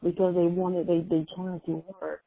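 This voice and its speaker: A woman rapper from Philadelphia with a Philadelphia accent, answering interview questions in a laid-back, halting, plain-spoken way. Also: fairly low pitch, little pitch movement, vocal fry, mellow, no room sound, rough recording. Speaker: rapper guest. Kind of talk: casual interview.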